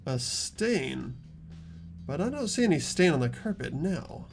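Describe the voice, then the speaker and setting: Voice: mid-range voice, very animated, measured pace, soft background music. A performer playing an earnest, inquisitive teenage schoolboy in game dialogue. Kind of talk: fan dub of visual novel game dialogue.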